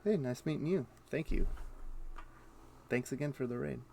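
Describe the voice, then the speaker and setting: A man's voice: medium pitch, normal speed, creaky, darkish tone. A man livestreaming a game, chatting casually and muttering his thoughts aloud in short fragments. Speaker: game streamer. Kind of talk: casual livestream chat, thinking aloud while gaming. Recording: faint keyboard typing and clicking noise. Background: computer keyboard typing and mouse clicks.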